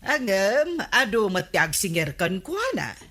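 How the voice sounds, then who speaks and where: An actress with an Ilocano accent playing a thrifty, disapproving village captain's wife who gossips matter-of-factly in comedy radio drama style. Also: female voice, mid-range voice, big pitch swings, unhurried, pushed and bright, heavily acted. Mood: gossipy, disapproving.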